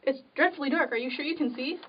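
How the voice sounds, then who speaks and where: A woman's voice: high voice, fast, a little hiss or hum, natural voice. A woman playing a timid, devoted young woman in an amateur drama, earnest and pleading. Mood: tender, subdued. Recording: dull, distant microphone.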